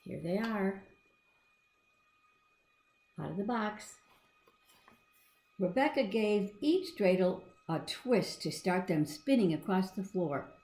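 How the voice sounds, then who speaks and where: Female storybook reader for young children, expressive and conversational, recorded in a reverberant room. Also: mid-range voice, takes it slowly, pauses often. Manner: expressive, asking the listener questions. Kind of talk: children's read-aloud with asides to young listeners. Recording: echoey room.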